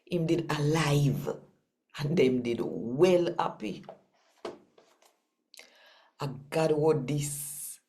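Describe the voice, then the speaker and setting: A woman with a Jamaican accent, hosting and reading scripture aloud in an expressive style with deliberate, emphatic phrasing. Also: low voice, a little hiss or hum. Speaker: channel host and scripture reader. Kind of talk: expressive scripture reading and channel talk in Patwa.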